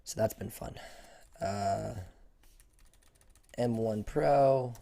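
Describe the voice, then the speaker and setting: Hoarse man hosting a tech livestream. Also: on the low side, drawn-out words, mellow. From United States. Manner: rambling, many fillers and restarts. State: hoarse from a cold. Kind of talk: casual livestream Q&A.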